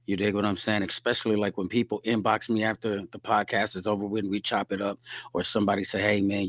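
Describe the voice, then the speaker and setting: A middle-aged man hosting his podcast alone, hoarse and relaxed, chatting to viewers and answering their comments. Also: fairly low pitch, no room sound, studio quality. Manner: laid-back, talks straight to viewers, reads out comments. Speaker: podcast host. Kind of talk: casual solo livestream chat.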